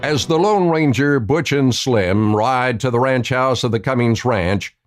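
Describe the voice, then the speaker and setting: A man's voice: medium pitch, a little slow, soft background music. A man reading scripted narration for a Western radio drama.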